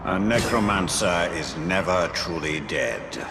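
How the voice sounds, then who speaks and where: A man playing a grave, brooding advisor in a video game, speaking in a solemn, measured way. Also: deep voice, heavy background noise. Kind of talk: video game drama narration. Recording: loud cinematic music underneath.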